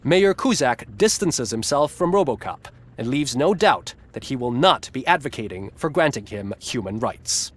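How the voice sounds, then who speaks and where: A man's voice: medium pitch, animated, normal speed, hardly any pauses, close to an everyday voice. A man playing a glib, satirical TV news anchor in a video game, with a polished, smugly ironic delivery. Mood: wry, polished. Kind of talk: scripted satirical newscast narration.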